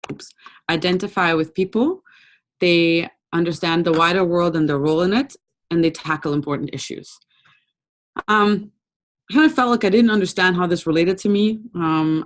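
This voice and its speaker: A young woman from Brazil giving an informal webinar talk over a video call, conversational and relaxed with frequent ums. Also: deep voice. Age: young adult. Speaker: webinar presenter.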